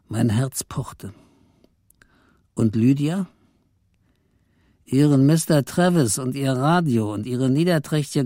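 An actor playing an embittered, henpecked office clerk in a radio play, brooding and theatrical as narrator and husband. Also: male voice, medium pitch, warm tone, no echo, clean studio sound, natural voice. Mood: tense. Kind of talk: radio play, dramatic first-person narration and dialogue.

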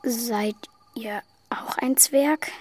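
Woman acting a high-voiced young boy prince. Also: drawn-out words, background noise. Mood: curious. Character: young boy prince, curious, polite, earnest. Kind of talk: German children's radio play acting.

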